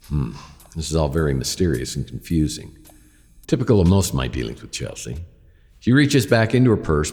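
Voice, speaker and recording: A man playing a wry, world-weary private detective, narrating his case deadpan in a hardboiled radio drama. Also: very deep voice, creaky, long reverb tail, exaggerated voice. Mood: guarded, flirting, then wry, puzzled. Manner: deadpan, sardonic.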